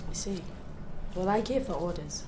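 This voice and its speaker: An actress playing an anxious young wife who speaks haltingly and hesitantly. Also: female voice, on the low side, reverberant, heavy background noise, lightly acted. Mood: fearful. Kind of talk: film drama acting.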